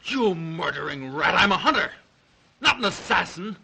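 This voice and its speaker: An actor playing a principled, indignant hunter held captive, forceful and in an old 1930s melodrama style. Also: male voice, high-pitched, big pitch swings, normal speed, gravelly, slight background noise, exaggerated voice. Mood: outraged. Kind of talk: 1930s melodrama film acting.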